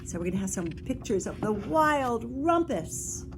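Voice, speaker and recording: A woman reading a picture book aloud to young children as a teacher or librarian would, expressive and engaging, dropping into whispers for suspense and putting questions to the listeners. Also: a little high, measured pace, noisy background.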